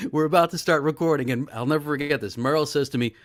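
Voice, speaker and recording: A middle-aged man, a sports broadcaster guesting on a talk show, telling stories with animated enthusiasm. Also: on the high side, brisk. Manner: animated, excited storyteller. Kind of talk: casual interview storytelling.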